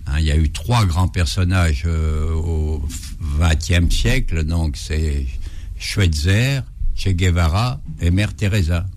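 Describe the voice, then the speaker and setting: Older guy with an ardennes accent, really deep voice, jokey and rambly, lots of ums. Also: takes it slowly, a little mellow. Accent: Ardennes French. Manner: folksy and joking, rambling with fillers. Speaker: guest, surgeon and anthropologist. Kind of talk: radio interview, anecdotal.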